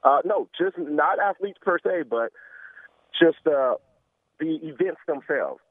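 A man phoning a radio show, giving his opinion casually in halting, circling phrases. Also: a little high, animated, dry recording. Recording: muffled narrow phone line.

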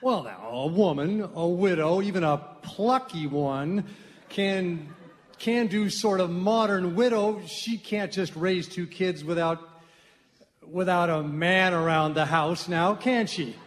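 A man playing a stubborn but lovable old-fashioned grandpa with a folksy, halting manner in a live comic radio play. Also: sits high. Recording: reverberant live hall.

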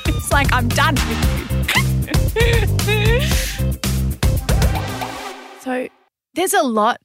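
Lively Australian woman chatting. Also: high voice, very slow, loud music behind the voice. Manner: animated, teasing. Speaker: podcast co-host. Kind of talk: casual chat.

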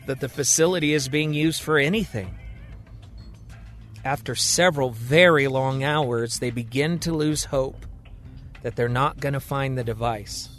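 A man telling a serial thriller in steady, expressive scripted narration. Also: medium pitch. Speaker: storyteller.

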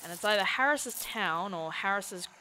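A brash young woman sidekick played by an actress in an audio drama, sarcastic and blunt. Her voice is sharp and swings widely in pitch, with slangy, wry delivery over faint noise. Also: lively intonation, normal speed, rough recording. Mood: animated.

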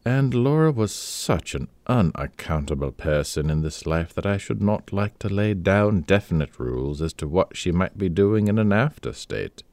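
A man reading a story aloud, voicing an urbane gentleman in a wry, measured way. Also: deep voice, expressive, no room sound.

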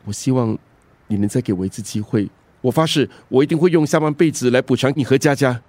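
Radio drama ex-husband played by an actor: middle-aged man, soft dark timbre, medium pitch, conciliatory and pleading. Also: quick pace, no echo, lightly acted. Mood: remorseful, pleading. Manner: earnest, conciliatory. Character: repentant middle-aged ex-husband, struggling songwriter, earnest, pleading. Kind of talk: Chinese radio drama acting.

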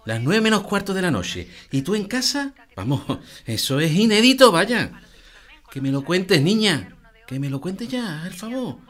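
A man's voice: sits high, sing-song, a little slow, fairly bright tone, full character voice. An actor with an Andalusian accent playing a fussy, prying middle-aged father in a radio drama, insistent and coaxing. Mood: teasing.

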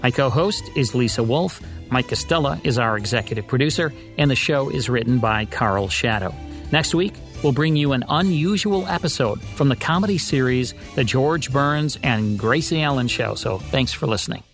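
A man's voice: mid-range voice, normal speed, soft background music. A man co-hosting a radio show, reading scripted narration in a presenter's style.

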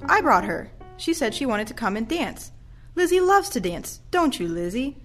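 A woman's voice: on the high side, very animated, normal speed, soft background music. A woman playing a cheerful, encouraging care home activity leader in an audio play. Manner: encouraging, gentle persuasion.

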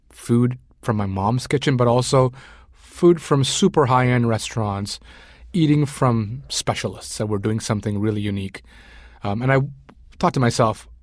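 A man's voice: mid-range voice, darkish tone, no echo, clean studio sound. A man in his forties from Sri Lanka, a food writer, chatting as an interview guest, with frequent ums and uhs. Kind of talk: casual interview conversation.

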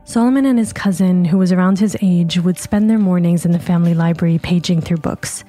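A woman narrates a documentary podcast in a low, mellow voice. Her delivery is measured and scripted. Faint music plays behind her. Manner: measured, even storytelling. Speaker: podcast host.

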